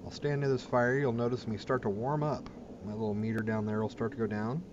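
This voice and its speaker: A man's voice: mid-range voice, steady tone, fairly quick, lo-fi sound. A man giving casual, plain-spoken commentary as he hosts a gaming video. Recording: clear background game noise. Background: game ambience.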